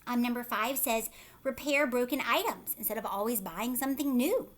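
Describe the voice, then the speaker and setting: A woman teacher reading a picture book aloud to children, pausing to explain it in simple, instructive asides and check-in questions. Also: on the high side, animated.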